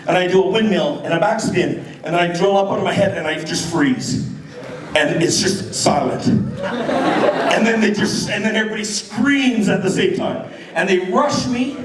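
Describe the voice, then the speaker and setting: A middle-aged Canadian man telling a story live to an audience in an animated, slangy, informal way. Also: sits high, moderate pace. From Canada, near Detroit on the Canadian side. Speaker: b-boy storyteller. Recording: echoey hall from far off, crowd around. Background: audience crowd and laughter.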